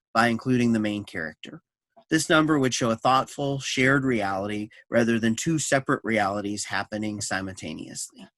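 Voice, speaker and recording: A man's voice: medium pitch, fairly bright tone, dry recording. A man presenting a staged reading, reading notes aloud and moderating the discussion.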